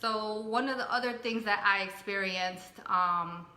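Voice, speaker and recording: A woman in her forties, raised in Connecticut with Spanish as her first language, chatting casually as a co-host in an unhurried, thoughtful way. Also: medium pitch. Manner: soft-spoken, unhurried, thoughtful with frequent fillers. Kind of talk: casual personal talk. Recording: echoey room.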